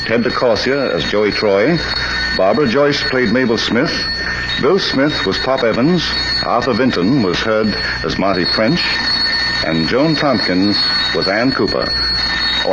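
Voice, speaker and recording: An actor playing a polite librarian who hosts a crime series, in 1940s radio announcing on a muffled, hissy broadcast. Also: male voice, fairly low pitch. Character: courteous male librarian host of the crime series. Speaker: host. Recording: muffled old radio broadcast, heavy hiss.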